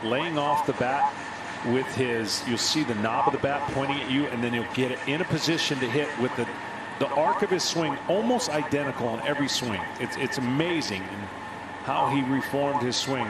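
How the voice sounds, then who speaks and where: Male American baseball analyst, medium pitch, explanatory delivery, live booth commentary with very heavy background noise. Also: brisk. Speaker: color commentator. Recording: very loud stadium noise behind.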